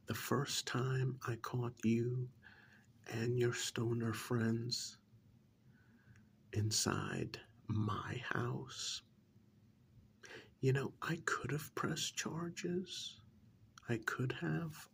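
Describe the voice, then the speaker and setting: A man playing a coldly patronizing serial killer in a soft-spoken ASMR role-play, breathy and half-whispered, with condescending, deliberate delivery. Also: mid-range voice, slight background noise. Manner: slow, deliberate, condescending, drawn-out pauses. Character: menacing male serial killer, coldly patronizing to his captive.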